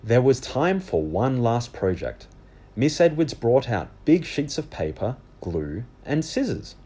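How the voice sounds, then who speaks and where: A man reading a children's book aloud as animated storybook narration. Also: medium pitch, unhurried, husky.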